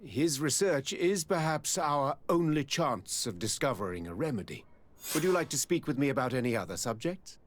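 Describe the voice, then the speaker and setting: An actor playing a dignified, diplomatic governor in a game, formal and deliberate. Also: male voice, medium pitch, big pitch swings, a little hiss or hum, close to an everyday voice. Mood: relieved, gracious.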